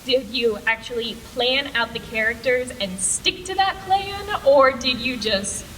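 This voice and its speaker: A woman's voice: sits high, unhurried, a bit bright, in a large hall. A woman in the audience casually asking fan questions at a live panel. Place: convention panel room, indoors. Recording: distant, noisy convention room with crowd.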